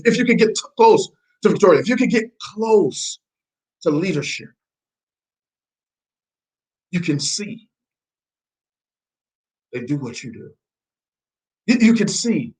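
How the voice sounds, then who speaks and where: A man's voice: fairly high pitch, poor audio. A man with an accent shifting between American and Nigerian, giving an emphatic, repetitive motivational network marketing training. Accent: mix of American and Nigerian. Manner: emphatic, repetitive, preachy, quizzing listeners.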